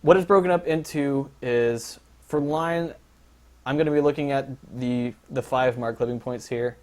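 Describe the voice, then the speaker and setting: A man's voice: medium pitch, moderate pace. Rambling young man running a briefing. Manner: rambling, filler-heavy, joking. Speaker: league organizer and scorekeeper. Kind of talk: casual livestream briefing.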